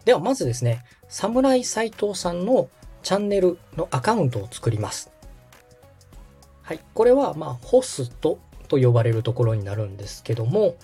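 Husky mellow host walking someone through a tutorial step by step, music behind. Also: mid-range voice, sing-song, normal speed. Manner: explanatory, checks the listener's understanding. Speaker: host and radio director giving a tutorial.

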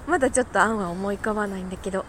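A woman chatting casually on her own like a morning podcast host, talkative and quick to laugh. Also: medium pitch, a little hiss or hum. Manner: chatty, laughs easily while talking. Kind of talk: casual solo morning chat.

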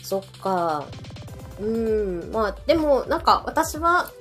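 A woman's voice: a little high, very animated, slow pace, a bit bright. A woman with a Kansai accent chatting casually as a co-host of a live podcast. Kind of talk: casual chat, reacting to listener comments. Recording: background music under the talk. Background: music.

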